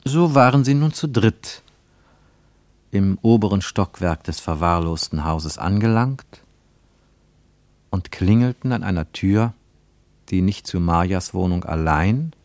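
A man narrating a literary radio drama in a measured, deliberate way. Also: fairly low pitch, darkish tone, no room sound.

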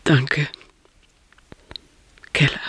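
Grieving woman, hushed, halting, slow pace, subdued radio crime drama delivery. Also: dry recording. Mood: subdued grief. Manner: hushed, halting, fading into whispers. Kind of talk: German radio play acting.

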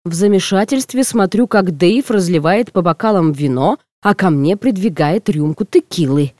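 A woman reading first-person comic fiction aloud as an audiobook narrator, in an expressive, theatrical way. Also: on the low side, normal speed, dry recording, clean studio sound.

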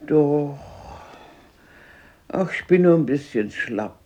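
A woman's voice: sits low, animated, takes it slowly, warm tone, exaggerated voice. An actress playing a talkative, bossy but caring old grandmother in a radio drama. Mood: reassuring. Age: older. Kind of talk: 1970s German radio play acting.

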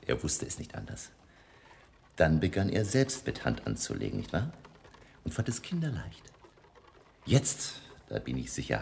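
Very deep, gravelly vampire hunter. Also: male voice, a little mellow, a little hiss or hum, full character voice. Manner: emphatic, lecturing, dropping to whispers. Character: learned older vampire hunter, commanding, intense. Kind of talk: German radio drama acting.

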